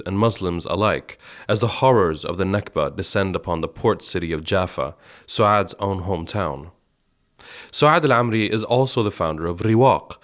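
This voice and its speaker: A man from Sweden who grew up in Egypt and Jordan, hosting a podcast with scripted, measured and articulate narration. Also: low voice. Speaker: podcast host, radio journalist.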